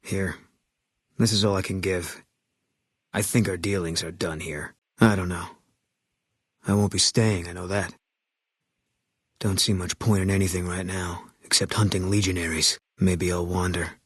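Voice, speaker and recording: A bitter, grieving ex-soldier sniper from a video game, who talks in short, clipped, grim lines. His voice is very low and husky, and it sits close and dry with no room sound around it. He stays guarded and curt, a middle-aged man who gives little away. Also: steady tone, measured pace, long pauses, no echo.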